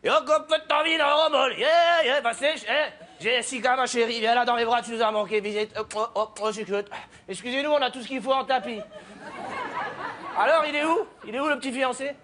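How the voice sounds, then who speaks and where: A man's voice: very high voice, brisk, sharp tone, a faint crowd. A man playing a blunt country father. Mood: loud, fussy. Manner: blunt, repetitive, muttering verbal tics. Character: gruff, suspicious, rural Alsatian father, middle-aged, tactless.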